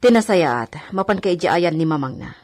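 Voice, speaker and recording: A woman's voice: fairly low pitch, lively intonation, normal speed, a little mellow, no room sound, natural voice. An actress playing a concerned, firm household woman giving advice in a radio drama, with a Filipino accent. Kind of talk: Ilocano radio drama acting.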